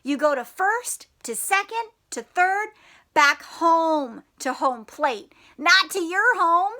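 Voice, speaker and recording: Slow-paced, high-voiced woman teacher. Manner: animated, encouraging, questioning. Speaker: teacher reading aloud to children. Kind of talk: children's read-aloud with questions.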